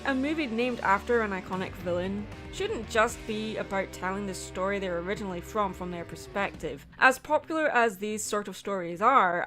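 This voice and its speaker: A woman narrating a scripted video essay in a playful, expressive way, often posing rhetorical questions. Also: fairly high pitch. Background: background music.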